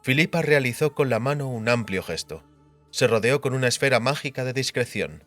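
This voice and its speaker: A man's voice: mid-range voice, animated, a little fast, a bit bright, faint music behind. A man reading scripted fantasy narration as the narrator of an audiobook.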